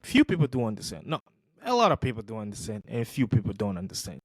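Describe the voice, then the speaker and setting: A man's voice: on the low side, huge pitch swings, quick pace, slightly rough, no echo. A man hosting a podcast, giving casual commentary on video clips, for whom English is not his first language, emphatic and repeating points for stress. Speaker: podcast host reacting to clips.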